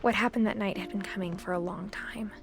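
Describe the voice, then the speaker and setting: An actress playing a reflective teenage girl, narrating her family's past in a soft, measured, subdued way for a video game. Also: mid-range voice, steady tone, vocal fry, background noise, lightly acted. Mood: pensive, melancholy. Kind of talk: scripted first-person game narration.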